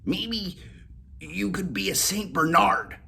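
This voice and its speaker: A man voicing Molly, a cheerful female collie, in a high squeaky excited voice while reading a storybook aloud. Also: slow pace, gravelly, breathy edge, full character voice. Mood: chirpy.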